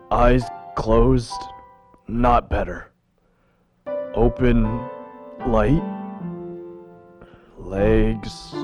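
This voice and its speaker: A spurned, lonely creature, acted by a man, speaks slowly and with earnest weight. It is a radio drama performance with soft music underneath. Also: lowish voice. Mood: childlike, bewildered. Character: lonely, spurned man-made monster, pleading, menacing, childlike when newborn. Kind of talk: dramatic radio play acting.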